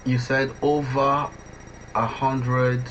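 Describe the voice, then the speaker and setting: A man asking interview questions conversationally, with halting, hesitant phrasing. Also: mid-range voice, little pitch movement, fairly bright tone. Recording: dull, distant mic with faint noise.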